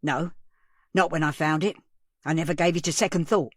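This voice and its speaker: A woman's voice: low voice, steady tone, normal speed, husky, dry recording, studio quality. A woman voicing a nervous male cafe owner under police questioning, speaking defensively with a tremor in his voice. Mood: guarded. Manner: defensive, voice trembling.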